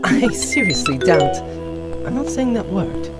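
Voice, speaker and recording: A determined woman adventurer and archaeologist as acted by a woman in an audio drama. She is wry, with a lively, expressive voice and music strongly present behind her. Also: on the low side, unhurried. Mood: busy, wry.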